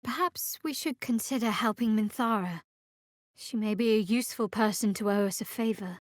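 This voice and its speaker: A woman playing a guarded, sardonic cleric of a dark goddess in a video game, with a dry, wry delivery. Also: medium pitch, normal speed, no echo, natural voice. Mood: wary, observant. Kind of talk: video game character voice lines.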